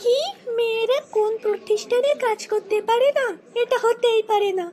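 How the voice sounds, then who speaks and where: A woman's voice: extremely high pitch, fairly even intonation, normal speed, warm tone. A woman voicing an argumentative, indignant young wife in a comedy cartoon dub. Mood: nagging.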